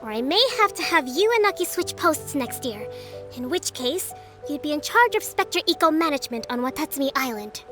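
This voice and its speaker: A woman playing a composed, earnest young island leader in a video game, speaking in a formal, measured manner. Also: high voice, big pitch swings, music in the background. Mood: stern.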